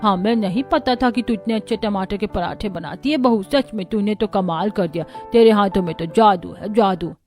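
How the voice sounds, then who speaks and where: A woman's voice: mid-range voice, normal speed, few pauses, a little mellow, faint music behind, natural voice. A woman playing an admiring older mother-in-law in an acted family story.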